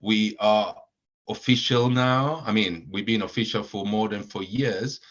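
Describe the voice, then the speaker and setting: Low-voiced man with many fillers, lo-fi. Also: fairly even intonation, a little slow, fairly bright tone. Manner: hesitant, many fillers and repeated words. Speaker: supporters club founder, guest. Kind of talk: casual podcast chat. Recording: dull lo-fi audio.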